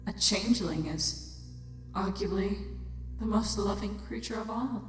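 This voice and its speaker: A woman playing a menacing, bitter, weary changeling queen in an audio drama, slow and theatrical, often sinking toward a whisper. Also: medium pitch, close to an everyday voice. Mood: proud, wistful. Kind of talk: audio drama acting of fan fiction. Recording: very echoey, long hall reverb. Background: music.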